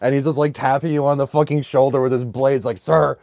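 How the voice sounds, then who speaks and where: A man's voice: medium pitch, fairly even intonation, fast, dark tone, dry recording, studio quality. A man co-hosting a casual comedy podcast, talking in an irreverent, rambling way full of swearing. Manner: irreverent, profanity-laden, rambling. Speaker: podcast co-host.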